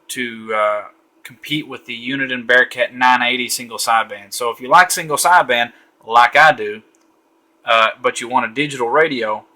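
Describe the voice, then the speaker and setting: A man's voice: medium pitch, bright, forceful tone. A man giving a casual, easygoing walkthrough of a radio at a home desk late at night, thinking aloud with frequent fillers. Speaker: radio reviewer.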